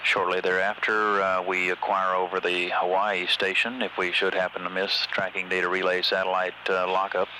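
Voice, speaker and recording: A man's voice: deep voice, completely flat delivery, moderate pace, few pauses. A man giving formal, matter-of-fact status commentary as a mission control announcer. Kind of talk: measured spaceflight status commentary. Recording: noisy archival space radio broadcast.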